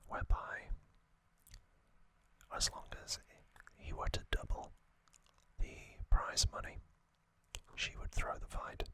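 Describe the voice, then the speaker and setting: A man whispering an ASMR recap as host, soft and unhurried, with frequent hesitant fillers. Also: no echo.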